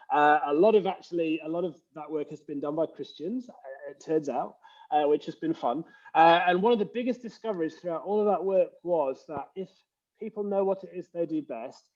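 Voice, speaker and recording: English guy coaching leaders, kinda high voice, earnest, bit of a dull lo-fi call sound. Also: a little mellow. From England. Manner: earnest, explanatory, frequent uh fillers. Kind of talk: conversational interview.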